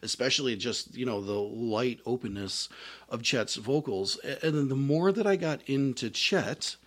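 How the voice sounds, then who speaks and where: A man, a trumpet player and singer, telling his story in a casual interview with many fillers and restarts. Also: normal speed. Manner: says he has a higher voice.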